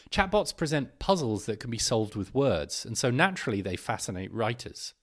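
A man giving a scripted narration, reading an article aloud. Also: mid-range voice.

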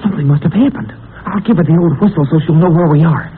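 A man's voice: a little high, fast talker, close to an everyday voice. An actor playing an eager, jumpy teenage boy who says gee-whiz things, in a 1940s radio serial on a tinny, hissy old recording. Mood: excited. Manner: excitable, gee-whiz exclamations.

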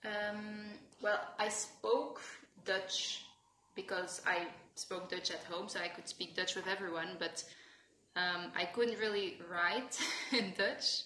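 A woman's voice: medium pitch, steady tone, slow, pushed and bright, roomy sound, a little hiss or hum. A young woman from France, a podcast guest talking casually and hesitantly, with many fillers. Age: young adult. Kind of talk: casual conversation.

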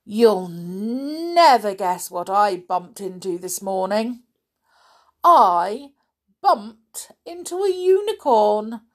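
A woman voicing an excited, proud, bumbling little man from a children's storybook, announcing things breathlessly. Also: mid-range voice, slow. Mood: proud excitement.

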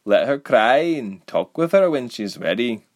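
A man voicing a calm, brisk, no-nonsense woman with a taste for blades in an audiobook. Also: medium pitch, no room sound, clean studio sound, pitched above the performer's own voice. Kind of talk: audiobook character voice.

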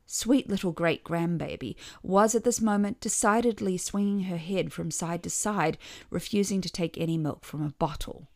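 A woman's voice: on the low side, normal speed, a little mellow, no room sound. Wry woman storyteller, dry close sound. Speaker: podcast author and narrator. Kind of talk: scripted first-person story narration.

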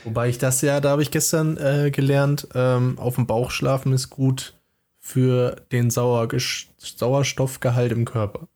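Podcast co-host: man, mid-pitched, easygoing, hesitant and full of fillers. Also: level tone, measured pace, a little mellow. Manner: laid-back, hesitant, lots of fillers. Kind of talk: casual chat.

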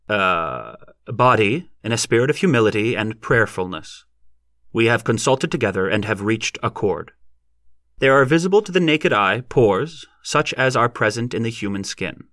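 A man voicing a solemn, pompous small-town preacher in an audiobook, speaking deliberately in formal, pontifical phrases. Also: on the low side, fairly bright tone, no room sound, clean studio sound.

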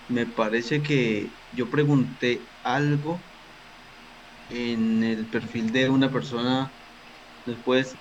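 A man's voice: medium pitch, dull audio, slight background noise. A man with a Colombian accent from Cali, a podcast guest chatting casually in a friendly, slangy way over a remote call.

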